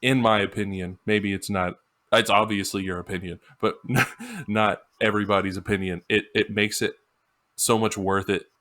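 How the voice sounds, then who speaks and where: A man's voice: lowish voice, steady tone, moderate pace, sharp tone. A man co-hosting a podcast, discussing music in an informal, emphatic, casual way. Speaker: podcast co-host.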